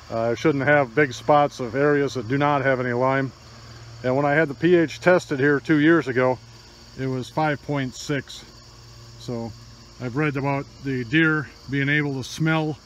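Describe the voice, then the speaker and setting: A man's voice: mid-range voice, slight background noise. An older man from Michigan giving plain, practical how-to narration while walking a rural field with a phone camera. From Michigan, USA. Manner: plain, practical, matter-of-fact explaining. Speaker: DIY hunting vlogger. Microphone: phone camera held while walking. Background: crickets and insects.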